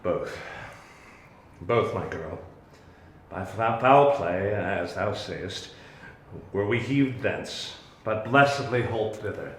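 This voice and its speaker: An actor playing a protective, commanding exiled magician duke and father, in solemn, deliberate Shakespearean verse. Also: on the low side, animated, a bit bright. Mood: grave, revealing. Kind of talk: Shakespearean dramatic reading. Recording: roomy, echoing sound.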